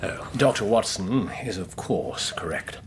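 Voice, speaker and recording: A keen Victorian gentleman detective in a radio drama, a man with an English accent. His voice sits fairly low and moves with great animation. He is courteous and exact, with a dry theatrical wit. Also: sing-song, a little slow, a little hiss or hum, full character voice. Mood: reluctant, tiring. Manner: precise, theatrical, wry.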